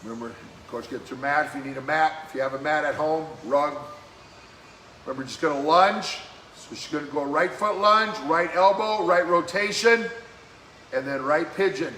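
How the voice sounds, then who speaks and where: A man leading a live-streamed workout as fitness coach, giving brisk, encouraging exercise cues in a slightly hoarse voice that keeps cracking. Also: fairly high pitch, pushed and bright. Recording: echoey room with faint background noise.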